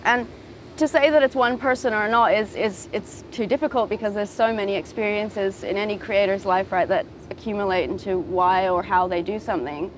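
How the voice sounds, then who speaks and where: Woman raised in japan, interview guest, reflective, thoughtful, frequent ums, mid pitch, outdoors at a beach, faint traffic. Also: a little fast, rough recording. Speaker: guest, designer and model. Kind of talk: casual interview answers.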